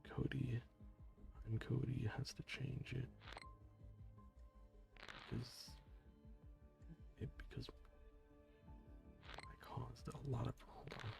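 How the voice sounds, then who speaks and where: Man, gravelly streamer voice at a mid pitch, low-key and jokey, close mic, faint music behind. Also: level tone, slow pace, long pauses. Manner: low-key and soft-spoken, joking, sometimes whispering. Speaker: game streamer reading chat. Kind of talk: casual gaming stream chat.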